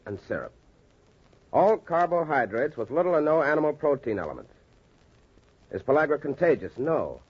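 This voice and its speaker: An actor playing an earnest, confident doctor in 1940s radio style, with an emphatic, oratorical manner and a husky voice. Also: male voice, medium pitch. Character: earnest, confident male doctor addressing skeptical colleagues. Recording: dull, lo-fi 1940s radio recording.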